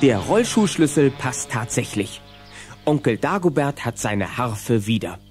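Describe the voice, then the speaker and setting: A man's voice: mid-range voice, sing-song, unhurried, over music. A man narrating a children's radio play in a dramatic storytelling manner.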